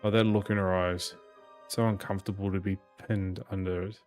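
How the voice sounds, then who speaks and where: Man, low voice, reading story text aloud, occasional stumbles, clear game music behind. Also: flat delivery, fairly quick, a little mellow. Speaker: let's play host. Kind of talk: reading visual novel narration aloud. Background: horror game music.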